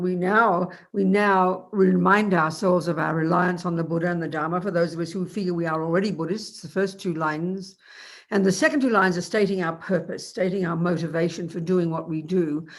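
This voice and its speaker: A meditation teacher with a cold, sounding a bit croaky, explaining in a rambling, self-correcting way over a video call. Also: female voice, lowish voice.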